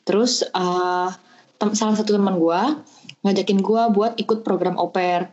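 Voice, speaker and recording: A young woman in her twenties, a podcast guest, talks casually over a remote call. She explains things step by step, filling gaps with small hesitations, at a middle pitch. The sound is muffled and lo-fi. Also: steady tone, moderate pace, a little mellow. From Bandung, Indonesia. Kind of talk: casual chat.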